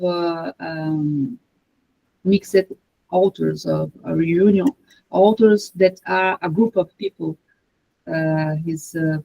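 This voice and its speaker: A native Portuguese speaker moderates a talk and reads audience questions aloud in English. The voice is mid-pitched and informal, with frequent pauses and fillers. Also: gravelly, mellow, slightly rough, rough recording.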